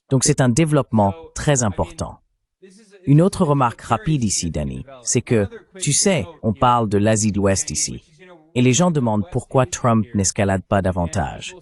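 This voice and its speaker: A man's voice: lowish voice, very animated, normal speed, darkish tone. A man voicing a French translation of a political analyst's explanatory interview commentary.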